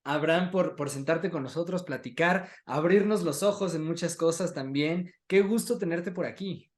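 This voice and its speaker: A man's voice: a little high, moderate pace. A man with a Mexican accent hosting a casual interview, often addressing his audience directly as friends. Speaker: host, interviewer.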